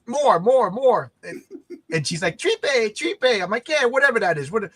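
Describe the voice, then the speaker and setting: A man's voice: very high-pitched, sing-song, moderate pace, a bit bright, slightly rough. A man hosting a casual chat, teasing his guests and acting out quotes for laughs. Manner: joking, teasing, acts out quotes. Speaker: podcast host.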